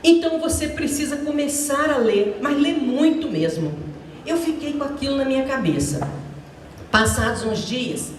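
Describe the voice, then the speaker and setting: A woman's voice: high voice, expressive, moderate pace, slight background noise. A retired Brazilian teacher in her sixties telling personal stories to students in a chatty, anecdotal way, talking straight to the audience. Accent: Brazilian Portuguese. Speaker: retired teacher, guest speaker. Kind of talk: personal storytelling talk to students. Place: large echoey school hall. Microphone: handheld microphone. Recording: echoey hall from a distance.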